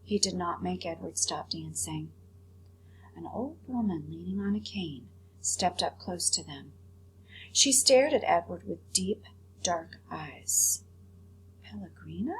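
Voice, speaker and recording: A woman's voice: on the low side, animated, takes it slowly, a bit bright, rough recording. Woman teacher reading aloud over background hum. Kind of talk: expressive storybook read-aloud with commentary for pupils. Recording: clear background hum and noise.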